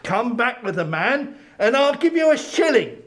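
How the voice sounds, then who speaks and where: Storybook role: elderly reformed miser, giddy and kindly, very high and animated. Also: male voice, moderate pace, heavily acted. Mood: giddy delight. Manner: exuberant, exclamatory. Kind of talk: dramatic reading of Victorian storybook.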